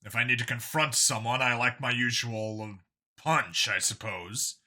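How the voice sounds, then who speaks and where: A narrator voicing a gruff, wary human man, a cop with a dry, casual, muttering delivery in a sci-fi audiobook. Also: mid-range voice, unhurried, pushed and bright, pitched below the performer's own voice. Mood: unimpressed.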